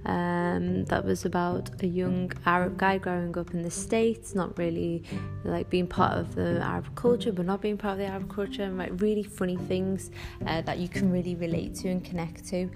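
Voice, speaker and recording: A woman with a Scouse accent hosting a casual solo podcast, rambling and chatty with many ums and quick self-corrections. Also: lowish voice, little pitch movement, vocal fry, music in the background. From Northwest England. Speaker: podcast host.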